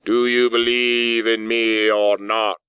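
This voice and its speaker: A mournful ghost in chains, acted by a man, declaims very slowly and solemnly. The words are drawn out with woe, as in a dramatic storybook reading, and the recording is dry. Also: mid-range voice, flat delivery, bright, forceful tone, clear, resonant tone, no echo. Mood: doomful, woeful.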